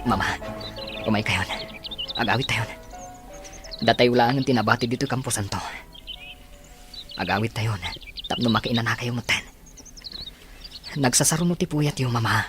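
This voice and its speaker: A man with an Ilocano accent playing a grieving, suspicious yet gentle adult son in a radio drama. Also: medium pitch, moderate pace, pauses often, over music, natural voice. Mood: sad, gentle.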